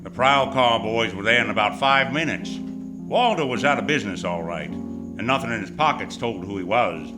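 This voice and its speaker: A man's voice: fairly low pitch, lively intonation, normal speed, over music, lightly acted. A man acting a wry, hardboiled private detective who narrates his case in old-time radio noir style on stage. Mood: matter-of-fact, sardonic. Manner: wisecracking, tough, world-weary slang. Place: stage of live radio theatre.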